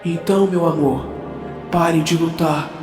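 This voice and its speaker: With music playing behind, a man acts out a noble male superhero, devoted and earnest. The voice sits high and declaims each line like fantasy audio drama. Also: fairly even intonation, a little slow, roomy sound, lightly acted. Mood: tender, reassuring.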